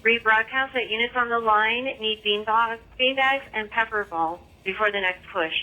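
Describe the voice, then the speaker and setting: A woman's voice: a little high, normal speed, bright, forceful tone. A woman dispatcher broadcasting firm, procedural instructions to units over police radio. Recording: muffled police radio transmission.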